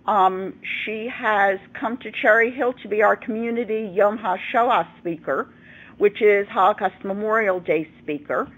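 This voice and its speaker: A woman hosting and moderating an educational program, speaking in a measured, organized way to groups she introduces. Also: medium pitch, fairly bright tone, breathy edge, rough recording. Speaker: host and moderator. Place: school room, live videoconference.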